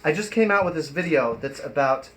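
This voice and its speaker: High-voiced man livestreaming in an echoey room. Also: animated, a little fast. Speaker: live stream host and performer. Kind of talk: casual improvised livestream chat. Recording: echoey room, noisy live stream audio.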